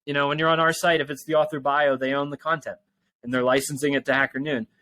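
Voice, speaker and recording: A man's voice: a little high, flat delivery, fast, pushed and bright, no echo. A man speaking as an interview guest in a casual, rambling, informal way. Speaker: tech company founder and interview guest.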